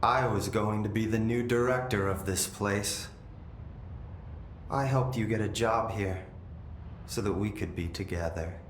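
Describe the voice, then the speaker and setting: An actor playing a possessed, eerily calm brother in a video game, telling things in a detached, dreamy and menacing way. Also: male voice, lightly acted. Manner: slow, detached, deliberate storytelling with lingering pauses. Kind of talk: dramatic video game acting. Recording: echoey room, noticeable low rumbling noise.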